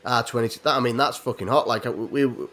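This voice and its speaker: An English man in his late thirties rambles through a casual podcast chat. He swears freely and laughs a lot. His voice sits at an ordinary middle pitch. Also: steady tone, a little fast, fairly bright tone. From England. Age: 30s. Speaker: podcast host.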